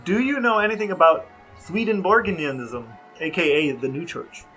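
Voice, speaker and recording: A man co-hosting a casual podcast, talking in a deadpan way. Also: on the high side, normal speed, soft background music, low-quality recording. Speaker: podcast co-host. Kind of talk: casual podcast talk with read-out questions.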